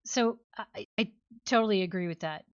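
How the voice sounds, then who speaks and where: A woman's voice: medium pitch, normal speed, clear, resonant tone, no echo, muffled recording. A woman co-hosting a book club podcast, talking casually in an analytical, questioning way.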